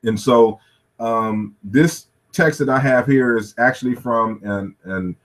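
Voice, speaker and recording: A man's voice: medium pitch, takes it slowly. A man lecturing through slides at a workshop, thinking aloud with many "you know" fillers and restarts. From United States.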